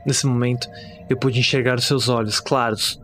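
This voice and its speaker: A man's voice: mid-range voice, fairly even intonation, moderate pace, fairly bright tone, soft background music, natural voice. A man with a Brazilian accent playing a terrified witness who recounts a horror story in the first person, dramatic and anguished. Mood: tense dread.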